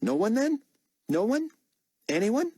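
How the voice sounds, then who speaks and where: A man voicing a menacing male official who loudly announces orders to prisoners. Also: big pitch swings, a little slow, slightly rough, dry recording, clean studio sound, heavily acted. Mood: mocking. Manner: loud commanding announcement, raised high voice.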